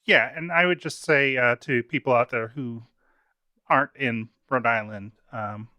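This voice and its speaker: A man with a bright, mid-pitched voice hosts a relaxed conversational interview. His sound is dry, with almost no room around it. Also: a little slow, no echo, muffled recording. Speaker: interviewer, host. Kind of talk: casual interview chat. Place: remote video call, dry room. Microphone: video call mic.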